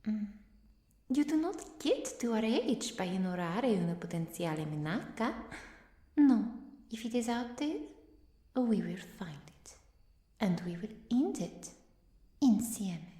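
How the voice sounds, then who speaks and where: A woman playing an ancient, playful, sharp-tongued vampire with an Italian accent in a theatrical ASMR roleplay, dropping Italian words into English. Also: mid-range voice, big pitch swings, a little slow, long pauses, echoey room. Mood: resolute.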